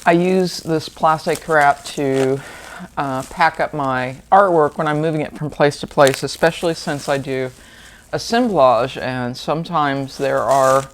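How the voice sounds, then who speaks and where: A woman's voice: deep voice, unhurried, slight background noise. A woman, hosting a book channel and chatting casually through an unboxing with fillers and small laughs. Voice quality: slightly gravelly.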